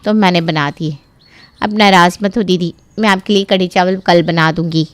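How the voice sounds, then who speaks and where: महिला द्वारा निभाई विनम्र, सफ़ाई देती नौकरानी. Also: female voice, on the low side, fairly even intonation, normal speed, no echo, natural voice. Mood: apologetic. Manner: deferential, explaining herself. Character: apologetic, obedient housemaid. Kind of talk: cartoon story dialogue.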